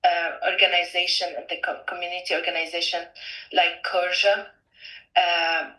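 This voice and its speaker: A woman giving a halting, considered interview answer as a community participant. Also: lowish voice, flat delivery, bright, forceful tone. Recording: echoey room, distant microphone.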